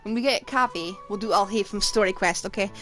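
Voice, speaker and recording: A woman's voice: medium pitch, brisk. A woman streaming a video game, giving casual live commentary. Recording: game music behind the voice.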